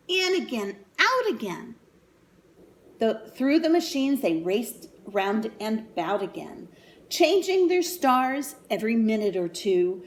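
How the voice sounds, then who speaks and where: A woman reading a picture book aloud to young children in an animated, sing-song way, pausing for asides and questions. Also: on the high side, a little hiss or hum.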